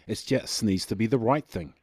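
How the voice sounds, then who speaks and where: A man's voice: lowish voice, moderate pace, darkish tone, no echo. A man hosting a radio sports show, setting up topics and steering the talk with short prompts. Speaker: radio host.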